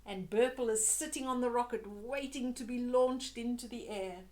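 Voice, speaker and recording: A woman's voice: fairly high pitch, normal speed, a little mellow. An older woman reading a children's story aloud, expressively, with chatty asides to young listeners.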